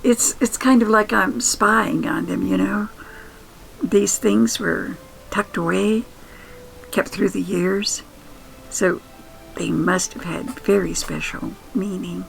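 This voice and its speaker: An older woman telling nostalgic first-person stories in an intimate, wistful, sentimental manner, with musing pauses. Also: medium pitch, steady tone, vocal fry, soft background music, poor audio. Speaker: podcast host and storyteller.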